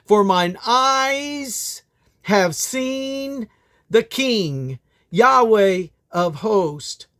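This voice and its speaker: A man voicing an awestruck biblical prophet who laments his unworthiness, declaiming slowly and loudly with anguish. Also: high-pitched, expressive, voice pushed up, heavily acted. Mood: anguished awe. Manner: loud, slow, anguished declaiming. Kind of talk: dramatic scripture reading.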